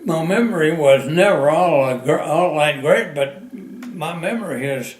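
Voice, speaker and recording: A man of ninety-nine from the mountains of southwestern Virginia, recalling his life in a halting way with many pauses and fillers. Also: a little high, animated, reverberant. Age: 90s. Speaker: interviewee. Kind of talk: oral history interview.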